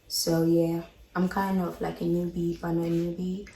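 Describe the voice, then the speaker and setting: A woman's voice: fairly low pitch, flat delivery, moderate pace, mellow, reverberant. Woman, twenties, from ghana, playful host, recorded from a distance. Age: 20s. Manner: reads out questions, teasing and playful. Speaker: vlog host asking tag questions. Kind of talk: casual chat among friends. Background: friends chatting and laughing.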